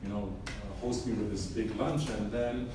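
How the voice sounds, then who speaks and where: A middle-aged photographer from Israel talks through his portraits in a loose, joking way, full of ums and asides. He is caught from a distance in a very echoey room with clear background noise. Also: lowish voice, fairly even intonation, moderate pace. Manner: casual storytelling with frequent fillers and wry humor. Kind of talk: artist talk about his photographs. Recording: echoey room, mic far off, noisy.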